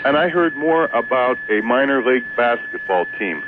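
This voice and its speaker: A man calling in to talk radio, chatting about politics in a halting, repetitive and emphatic way. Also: medium pitch, animated. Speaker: radio call-in guest. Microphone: telephone. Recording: tinny phone line, noisy hum, radio aircheck.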